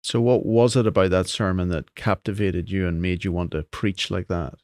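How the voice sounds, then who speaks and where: Low-voiced podcast host man. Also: steady tone, moderate pace, darkish tone, no room sound, clean studio sound. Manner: friendly and inquisitive, with frequent murmured agreement. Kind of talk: podcast interview.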